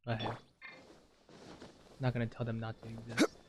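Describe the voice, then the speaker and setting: A man's voice: medium pitch, completely flat delivery, moderate pace. A man chatting bluntly and casually, giving his opinions as he streams a game. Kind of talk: casual opinionated chat while gaming.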